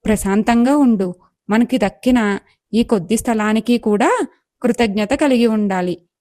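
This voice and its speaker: A woman acts out a patient, wise goddess in human form for a storybook tale. Her voice is fairly high and dryly recorded, with calm, instructive reassurance. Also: measured pace, no room sound, clean studio sound, natural voice. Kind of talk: acted moral story dialogue.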